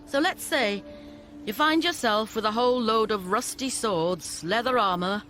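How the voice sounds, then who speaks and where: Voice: medium pitch, unhurried, pushed and bright, soft background music. An actor playing a shrewd, condescending scavenger boss making deals in a video game. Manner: condescending, businesslike. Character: brisk, shrewd scavenger boss, sardonic dealmaker.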